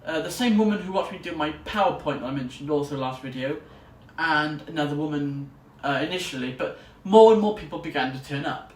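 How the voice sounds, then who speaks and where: Higher-voiced young man in an echoey room. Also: a little fast, few pauses. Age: young adult. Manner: chatty, rambling, enthusiastic. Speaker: student vlogger. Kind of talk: casual vlog to camera. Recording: roomy echo, distant mic, faint noise.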